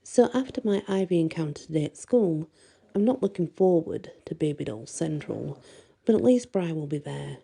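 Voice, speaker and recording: A woman reading a first-person bedtime story expressively, with a soft voice. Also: sits low, gravelly. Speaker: audiobook reader and storyteller.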